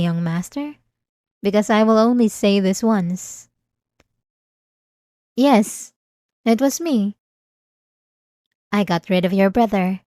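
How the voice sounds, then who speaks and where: A woman playing an obsessed young maid in a scripted ASMR roleplay, devoted and quietly menacing, with formal, composed, intimate delivery. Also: medium pitch, no room sound, studio quality, lightly acted. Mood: calm, cold, devoted.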